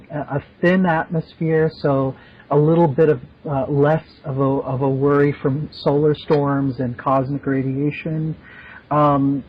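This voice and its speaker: A man's voice: a little high, breathy edge. A man hosting a podcast in casual, playful interview chat. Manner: playful, jokey. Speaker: podcast host. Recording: dull lo-fi remote audio.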